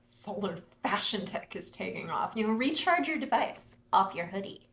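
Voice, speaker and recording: A woman's voice: mid-range voice, sing-song, normal speed, a bit bright. Woman as an earnest theater-running daughter, echoey room. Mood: conversational. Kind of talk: dramatic screenplay table read. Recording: echoey room with clear background noise.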